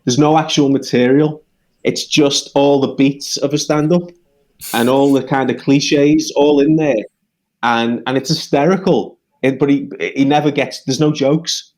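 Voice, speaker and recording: A man from the United Kingdom, a songwriter guest in casual conversation, talking in halting bursts full of restarts and growing animated as he makes his point. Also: mid-range voice, rough recording.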